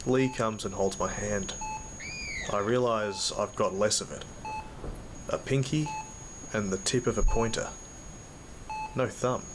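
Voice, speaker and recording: An actor playing a badly injured, weary man, narrating his ordeal in halting fragments over heavy noise in an audio drama. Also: lowish voice, lightly acted. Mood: weary, subdued. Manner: halting, broken into short fragments. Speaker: narrator and lead character. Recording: loud noise behind the voice.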